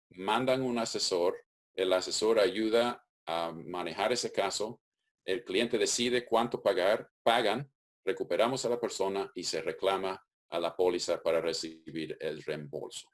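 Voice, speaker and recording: Guy, very low voice, calm methodical webinar explainer on a call. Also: measured pace. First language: English. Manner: methodical, steady explaining with occasional restarts. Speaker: webinar presenter.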